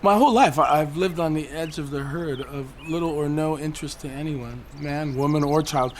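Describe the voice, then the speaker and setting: An actor playing a bitter, paranoid loner on a high ledge in a naturalistic audio drama, speaking haltingly with defiant, cynical, profane edges. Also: male voice, a little hiss or hum, natural voice. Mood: wounded.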